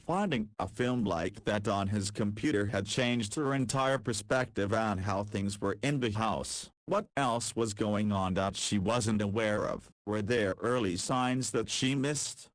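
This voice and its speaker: Low-voiced male storybook narrator. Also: no echo.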